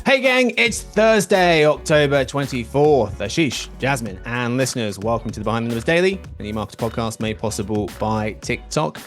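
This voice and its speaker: A man's voice: mid-range voice, lively intonation, moderate pace, hardly any pauses, fairly bright tone, faint music behind. A man hosting a podcast in casual chat, teasing his guests with playful banter. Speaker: podcast host.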